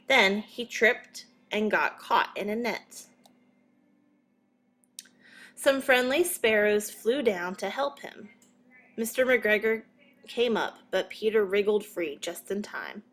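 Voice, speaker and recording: Slow-paced woman reading a children's story. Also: mid-range voice, fairly bright tone. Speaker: storybook reader. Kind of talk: scripted children's story narration.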